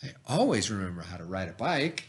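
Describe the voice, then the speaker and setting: A man voicing a kindly, encouraging old grandfather in a storybook, in a gentle, reassuring manner. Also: sits low, big pitch swings, a little fast, slight background noise, pitched below the performer's own voice. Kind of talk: read-aloud storybook character.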